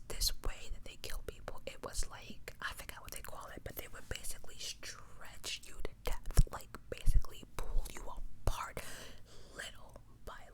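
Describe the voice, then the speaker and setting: A woman whispering true crime stories for ASMR, with casual, rambling asides in a breathy, unvoiced voice. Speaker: ASMR host.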